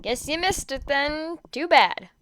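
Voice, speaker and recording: A woman's voice: sits high, animated, slow pace, fairly bright tone, slight background noise, heavily acted. A woman voicing a smitten, evasive teenage boy in a story reading, casual and teasing. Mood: coy.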